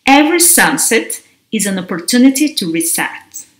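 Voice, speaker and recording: A woman, teaching words to a camera in a bare room. She talks gently and spells out each word slowly. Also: on the high side, big pitch swings. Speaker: language teacher. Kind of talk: slow, careful teaching to camera. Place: large, bare indoor room. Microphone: camera mic at desk distance. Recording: roomy echo of an empty room.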